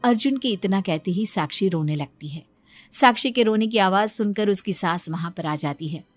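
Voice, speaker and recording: A woman's voice: mid-range voice, lively intonation, normal speed, no room sound. A woman narrator reading a scripted moral story. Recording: music behind the voice.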